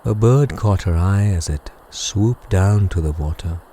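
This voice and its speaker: A man gently and calmly narrating a scripted bedtime story for guided sleep meditation, soothing and unhurried. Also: low voice, slight background noise. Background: music.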